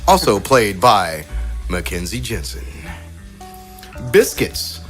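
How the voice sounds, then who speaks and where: Animated man hosting a game. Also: fairly low pitch, takes it slowly, soft background music. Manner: chuckles through his announcements. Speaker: game host. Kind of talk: casual game introduction with character read-outs.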